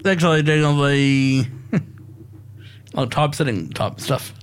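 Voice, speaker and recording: An Australian man in his thirties, from Queensland, answering a radio host in short, soft-spoken replies. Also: fairly high pitch, slow pace, a little hiss or hum. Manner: soft-spoken, brief answers with shy laughs. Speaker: radio show guest. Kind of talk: casual radio chat.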